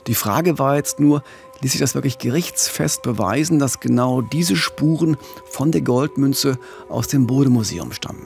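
A middle-aged man narrates conversationally as a true-crime podcast host. His voice sits at an average pitch with a gravelly edge. He is close on a studio mic with faint music behind him. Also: normal speed, a little mellow. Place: small radio studio. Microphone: studio microphone with pop filter, headphones.

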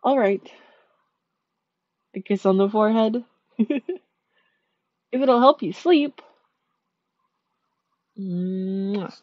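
Woman doing a sweet dream eater spirit, gentle and soothing, mellow tone, dry dull lo-fi sound. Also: mid-range voice, drawn-out words, pauses often, no room sound. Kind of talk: ASMR sleep-aid roleplay.

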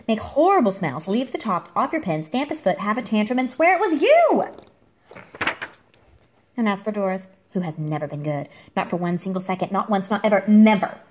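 A woman reading a picture book aloud to a child at home, expressively, with theatrical emphasis and dramatic pauses. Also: fairly high pitch, darkish tone. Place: indoor room at home. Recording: home recording with clear background noise, clicks.

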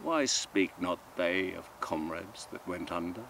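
A man giving a solemn, dramatic poetry recitation in a measured way, with long pauses and swells from hushed to forceful. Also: low-pitched, animated, vocal fry. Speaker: poetry reader. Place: outdoors in open countryside. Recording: outdoor ambient noise.

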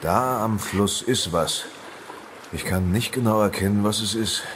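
Guy acting a wary man in a horror audio play, deep hushed voice, noisy ambience. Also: measured pace, natural voice. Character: wary male companion in a secret investigation.

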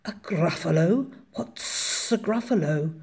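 A woman voicing a sly, slithering storybook snake with slow, drawn-out words. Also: on the low side, animated, darkish tone, breathy edge, exaggerated voice. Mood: sly, coaxing.

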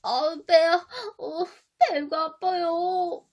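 A woman's voice: very high-pitched, steady tone, extremely slow, voice pushed up, exaggerated voice. A woman voicing a self-important, grumbling little boy prince in a storybook. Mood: whining, distressed. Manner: exclamatory, grumbling.

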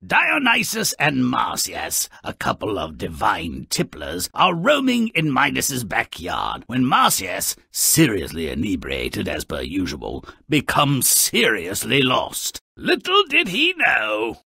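An actor voicing a spiteful, mischievous gnome-like creature who narrates a video game tale with sardonic, theatrical relish in a gravelly, raspy voice. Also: male voice, medium pitch, huge pitch swings, slow, hardly any pauses, sharp tone, no echo, full character voice. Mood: sardonic storytelling. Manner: sardonic, exaggerated, relishing malice.

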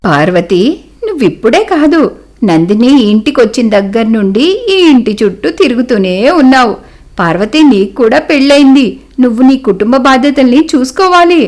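A woman voicing a gentle, trusting older mother who reasons calmly and patiently in a cartoon moral story. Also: a little high, a little fast, pitched below the performer's own voice, close to an everyday voice. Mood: gently admonishing. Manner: calm, reasoning, reassuring.

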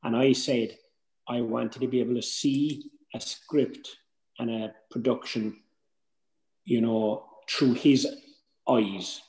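A man's voice: medium pitch, fairly even intonation, slow pace, a little mellow. An older man with an Irish accent giving an informal, earnest workshop talk over a video call, conversational and emphatic. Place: indoor room at home, on a video call. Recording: roomy home video-call audio.

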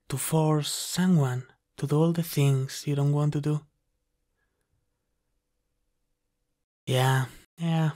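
A man voicing a flirty, dominant demon from the underworld in an intimate ASMR roleplay, soft, breathy and often half-whispered. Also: mid-range voice. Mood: haughty, teasing. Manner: slow, teasing, dominant.

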